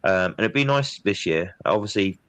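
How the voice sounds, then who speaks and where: A man's voice: sits low, normal speed, no room sound. A man chatting casually on a podcast, bunged up from a cold. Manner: chatty, relaxed, says "mate" often. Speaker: podcast co-host. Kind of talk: casual chat.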